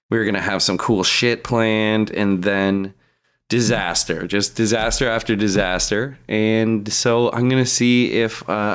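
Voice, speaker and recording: Low-voiced, foul-mouthed young man venting. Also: clean tone. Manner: profane, blunt, exasperated venting. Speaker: podcast co-host. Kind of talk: casual chat.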